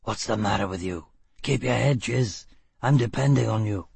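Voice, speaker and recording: A man voicing a gruff, blunt, stubborn escaped prisoner in a storybook reading, with terse, grunted lines. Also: fairly low pitch, normal speed, a little mellow, no room sound.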